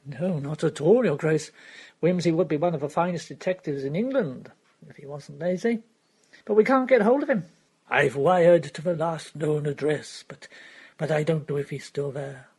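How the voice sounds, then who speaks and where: A steady, polite police detective who answers plainly and without fuss, as a woman reads him. The voice is lowish and husky, close to the reader's own. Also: normal speed.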